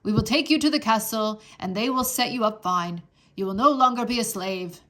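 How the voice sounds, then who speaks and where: A woman's voice: a little high, normal speed, natural voice. A woman doing a stiff, dutiful soldier. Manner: stiff, polite, shouts to be heard. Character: formal dutiful male soldier of the king.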